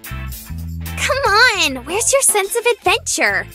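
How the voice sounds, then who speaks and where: A woman's voice: extremely high pitch, huge pitch swings, slow, bright, forceful tone, soft background music, full character voice. A woman voicing a bubbly, friendly, eager young woman in a visual novel, perky and gushing. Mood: cheerful. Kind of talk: visual novel character voice acting.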